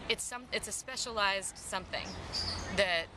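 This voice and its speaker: An American woman in her thirties with a low, husky voice, talking casually and candidly in an interview. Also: moderate pace. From Mississippi, United States. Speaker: singer-songwriter being interviewed. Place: outdoor playground in a city park. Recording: outdoor ambient city noise. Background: park and urban outdoor ambience.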